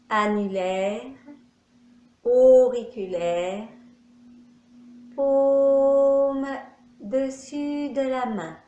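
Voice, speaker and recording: A woman yoga nidra teacher giving guided meditation instructions in measured phrases with pauses. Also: fairly high pitch, darkish tone. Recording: echoey room.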